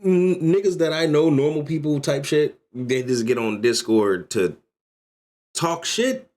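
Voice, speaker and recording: A man's voice: on the high side, unhurried, darkish tone. A man in his thirties ranting loosely and profanely while reacting to a video on a livestream. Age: 30s. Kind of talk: casual livestream reaction chat.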